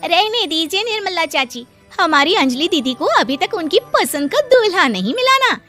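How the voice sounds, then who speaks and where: A woman acts a meddling female relative in a moral-story cartoon. The voice is very high and bright, with light music behind. She needles in a pointed, busybody way. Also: big pitch swings, normal speed, heavily acted. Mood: taunting. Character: meddling, taunting women of family and neighbourhood.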